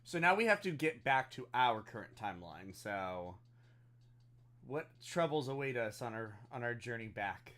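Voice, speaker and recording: Man, very bright and punchy voice, medium pitch, tabletop player, casual improv banter, comic, halting. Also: sing-song.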